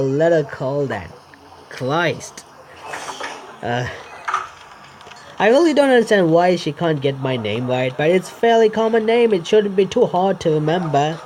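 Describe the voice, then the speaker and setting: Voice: mid-range voice, a little mellow, slight background noise. A game streamer reading a visual novel aloud with casual asides, stumbling over words, correcting misreadings and swearing. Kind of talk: let's play narration with casual asides.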